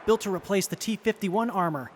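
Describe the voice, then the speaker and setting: A man playing an eager, earnest, nerdy soldier who loves technology in an audio drama, excitable and deferential. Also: sits high, fairly quick, natural voice. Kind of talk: post-apocalyptic audio drama acting. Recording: long hall reverb, faint background noise.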